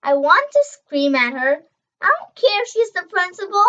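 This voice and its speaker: A young girl reading a children's novel aloud, expressive and emphatic on dialogue, stumbling over words now and then. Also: very high voice, moderate pace, highs cut off. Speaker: reader.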